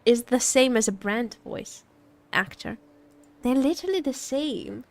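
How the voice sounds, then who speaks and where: A woman's voice: on the high side, animated, unhurried. A woman streaming as a VTuber, chatting casually about a game in a teasing, joking, giggly way. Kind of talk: casual livestream chat reacting to a game.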